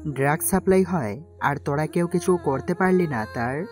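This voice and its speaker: A man's voice: fairly high pitch, expressive, normal speed, darkish tone, close to an everyday voice. Man playing a curt, commanding gangster. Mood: angry. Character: brooding, commanding male gangster, vengeful and stubborn. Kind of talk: audio story acting. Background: faint music.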